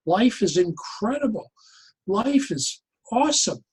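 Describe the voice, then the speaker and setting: An older man talking reflectively as an interview guest, deliberate and halting, often stopping and restarting his phrases. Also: high-pitched, sing-song, slightly rough. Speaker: interview guest, psychologist and humor educator.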